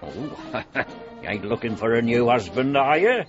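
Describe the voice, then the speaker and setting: Flirty country villager, rural English accent, leisurely, folksy, muffled. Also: male voice, medium pitch, sing-song, measured pace. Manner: folksy, teasing. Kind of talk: radio drama acting. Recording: dull lo-fi radio drama with music.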